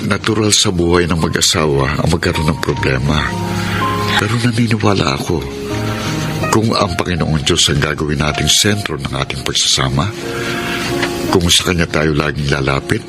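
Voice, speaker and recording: A devoted Filipino suitor with a very deep voice. He woos tenderly and earnestly in radio romance style, over loud music. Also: normal speed. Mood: earnest, reassuring. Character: devoted, persistent male suitor, sincere and devout. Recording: loud music under the dialogue.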